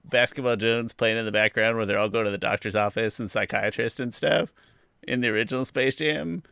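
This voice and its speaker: A male podcast co-host with a bright, forceful voice and plenty of vocal fry. He chats casually and gives emphatic opinions with wry asides. The sound is close and dry. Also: medium pitch, no echo.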